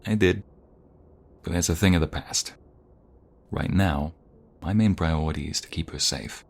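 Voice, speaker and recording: A man acting a protective reformed mobster and devoted fiancé in an ASMR roleplay, earnest, measured and intimate. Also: sits low, a little mellow. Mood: earnest, resolute.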